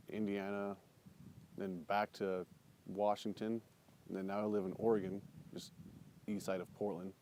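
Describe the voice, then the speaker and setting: A soft-spoken man in a casual interview, quiet and slightly halting. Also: lowish voice. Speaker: interviewee, former Marine.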